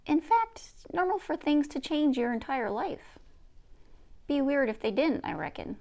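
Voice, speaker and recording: A woman's voice: high-pitched, lively intonation, a little slow, dark tone, pitched above the performer's own voice. Woman voicing a folksy old grandmother from a storybook. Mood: wry, reassuring. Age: older. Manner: folksy, drawling phrases. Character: folksy old grandmother, plain-spoken, teasing and musing. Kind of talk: children's book read-aloud.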